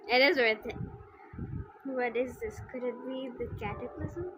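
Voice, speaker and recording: High-voiced woman streamer, playful and unhurried. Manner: playful, teasing. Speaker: game streamer. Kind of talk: casual live-stream banter and reactions. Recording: noisy home livestream mic.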